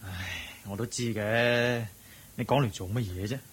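A male actor playing an excitable, teasing companion who is game for mischief, in a Hong Kong Cantonese radio drama, with a husky voice. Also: lowish voice, unhurried. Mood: knowing. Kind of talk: Buddhist radio drama acting.

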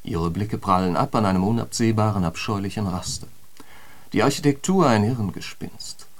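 A man performing a grave radio drama narrator with a somewhat low voice. He speaks in measured, rhetorical phrases, guiding the listener like a literary essayist. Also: a little hiss or hum, lightly acted. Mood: somber, descriptive. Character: male narrator, grave literary guide to a harsh New York.

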